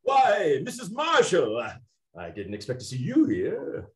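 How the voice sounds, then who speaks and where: An actor playing a slippery, grandiose gentleman crook and failed film director in a 1920s comedy radio play. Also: a little high, huge pitch swings, measured pace. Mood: effusive surprise. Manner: grandiose, theatrical. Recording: roomy, echoing sound.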